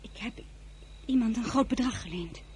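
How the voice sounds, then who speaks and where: A woman playing an earnest, lovesick French teenage girl in a radio drama, with a husky, breathy voice. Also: fairly high pitch, moderate pace, darkish tone, a little hiss or hum, close to an everyday voice. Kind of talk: radio drama acting with diary narration.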